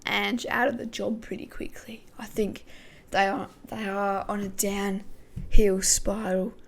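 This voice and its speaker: A woman hosting a casual football podcast, her voice croaky, thinking aloud with many hesitations. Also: mid-range voice, little pitch movement, slow. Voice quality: gravelly. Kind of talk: casual pre-match football talk.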